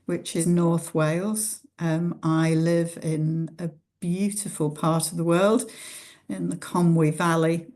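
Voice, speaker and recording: Slow, low-voiced Scouse woman priest. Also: flat delivery, darkish tone. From Liverpool, England. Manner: thoughtful, many ums and uhs. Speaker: pioneer priest. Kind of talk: reflective personal talk.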